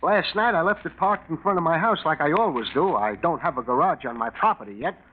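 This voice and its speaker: On a tinny old radio recording, an actor plays a desperate, flustered man with a very high voice. He stammers and protests, his pitch swinging widely, in forties mystery style. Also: animated, brisk, lightly acted. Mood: nervous. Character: anxious, desperate small-time garage owner, male. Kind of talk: 1940s radio acting. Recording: tinny, faintly noisy 1940s radio recording.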